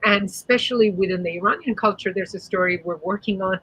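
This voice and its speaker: A woman's voice: medium pitch, quick pace, fairly bright tone, a little hiss or hum, poor audio. A woman whose first language is Persian, chatting as a guest on an online panel in a playful, sassy way. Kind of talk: casual online panel chat.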